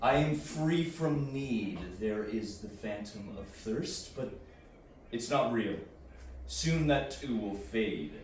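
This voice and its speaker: In a heavily reverberant, distant recording with faint noise, a man acts out an aloof post-human warrior for a sci-fi audio drama. He is coldly intense and deliberate, with halting phrases that range widely in pitch. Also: animated. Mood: detached, resigned.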